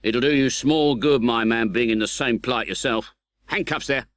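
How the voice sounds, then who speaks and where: A man voicing a brisk, commanding English army sergeant in an audiobook, with gruff, peremptory delivery. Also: medium pitch, a bit bright, no room sound, studio quality, pitched above the performer's own voice, lightly acted. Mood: brisk, stern. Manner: barking, peremptory orders.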